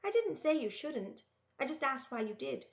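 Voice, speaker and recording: A woman's voice: sits high, sing-song, normal speed, muffled recording, pitched above the performer's own voice. A woman voicing a curious, plucky young country girl who asks direct questions in a storybook.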